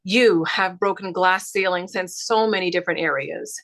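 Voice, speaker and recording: A woman's voice: lowish voice, normal speed, a bit bright. A woman playing an apologetic, harried journalist with a hesitant, deferential manner in a comedy play reading. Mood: flustered, deferential. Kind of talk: comedy play table read.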